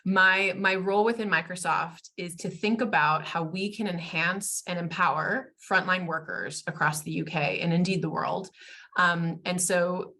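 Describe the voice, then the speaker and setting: An American woman, a guest expert in a webinar interview, talking fluently in a polished and warm way. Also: fairly low pitch, fairly even intonation, moderate pace. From United States. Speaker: guest, tech company expert.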